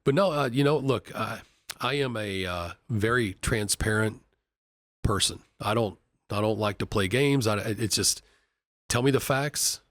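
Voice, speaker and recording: A man's voice: fairly low pitch, a little slow, a bit bright, no echo, studio quality. A man from Kentucky, an executive, talking casually and earnestly in a podcast interview, often restarting and repeating words. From Kentucky, USA. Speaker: company executive vice president. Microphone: studio podcast mic.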